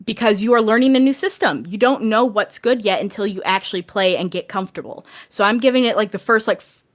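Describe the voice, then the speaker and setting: Female podcast guest, medium pitch, conversational, explanatory and encouraging game master. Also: fast talker. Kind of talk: casual podcast discussion.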